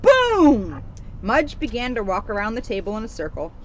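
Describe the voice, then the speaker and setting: A woman reading a children's storybook aloud in an animated, expressive way, with emphasis on the sound words. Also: fairly high pitch, normal speed. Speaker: storybook reader, police officer. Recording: noisy, dull outdoor recording.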